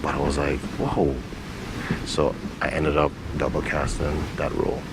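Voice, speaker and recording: Male guest, very low gravelly voice, casual interview talk over clear background noise. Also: unhurried. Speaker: stage play director being interviewed. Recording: steady background hiss and rumble.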